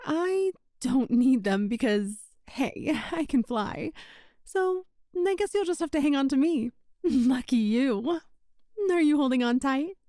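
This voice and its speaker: A high, mellow-voiced yandere witch, acted by a woman in an intimate ASMR roleplay. She is sweet, coy and possessive, treating sinister ideas as breezy small talk. The sound is very dry and close. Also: animated, slow, no echo. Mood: playful, teasing. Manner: cutesy, coy, breezily matter-of-fact about sinister things. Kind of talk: ASMR roleplay acting addressed to the listener.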